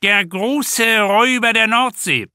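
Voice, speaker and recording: A pedantic male holidaymaker from a comic crime audiobook, voiced by a male narrator. He declaims like an expert in a raised, bright voice, recorded dry. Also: high-pitched, slow pace, no room sound, pitched above the performer's own voice, full character voice. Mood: self-important. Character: know-it-all naked male beach holidaymaker lecturing on fish.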